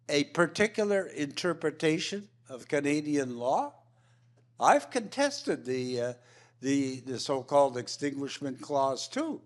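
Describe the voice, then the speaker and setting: A man making impromptu panel remarks, repeating and restarting his phrases often as he argues his points emphatically. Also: fairly high pitch. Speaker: roundtable panelist.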